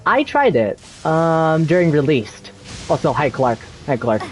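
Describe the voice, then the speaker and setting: A woman chatting casually while playing a video game, halting and repeating her phrases. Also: deep voice, very animated, faint music behind, low-quality recording. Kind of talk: casual chat over gameplay.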